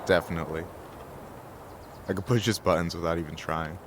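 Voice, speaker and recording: A man playing a joking, warm-hearted young friend in a video game, bantering in a natural, playful way. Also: deep voice, steady tone, a little fast, close to an everyday voice. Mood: fond reminiscing. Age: young adult. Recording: faint outdoor ambient noise behind.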